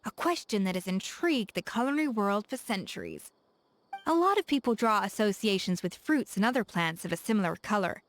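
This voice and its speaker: A woman's voice: medium pitch, sing-song, a little fast, natural voice. Woman playing a self-assured, teasing chef. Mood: musing. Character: confident, playful young woman chef, proud and curious. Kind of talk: game character voice lines.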